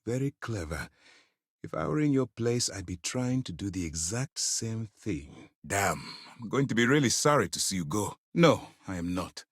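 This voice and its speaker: Deep-voiced, commanding space-navy officer. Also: male voice, very animated, husky, no room sound, studio quality, full character voice. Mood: bitter, disappointed. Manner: formal, authoritative, measured military diction. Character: stern, principled male space-navy commander, grave and commanding. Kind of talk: scripted video game character lines.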